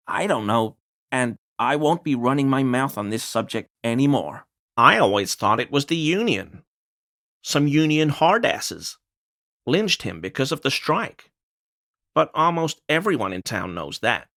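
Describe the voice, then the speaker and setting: A grovelling, small-minded townsman from a video game, speaks in a mid-pitched male voice. He is halting and apologetic, forever pleading with authority and eager to appear decent. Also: fairly bright tone. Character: nervous, grovelling small-time bigoted townsman under police questioning. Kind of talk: scripted video game character dialogue.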